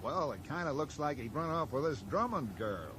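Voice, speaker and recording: A man's voice: a little high, big pitch swings, moderate pace. A man playing a blunt, probing small-town American sheriff in a radio drama, speaking in a folksy, matter-of-fact way. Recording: noisy 1980s radio drama broadcast.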